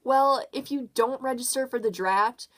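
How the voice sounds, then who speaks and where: A woman's voice: fairly high pitch, moderate pace, close to an everyday voice. A woman playing a polite male official answering questions in a puppet show. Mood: friendly, apologetic.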